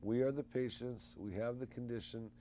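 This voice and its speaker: A man co-hosting a call-in show, animated and folksy, rallying listeners in a casual way. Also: mid-range voice, moderate pace, slight background noise. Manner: animated, folksy, preachy promoting. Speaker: co-host.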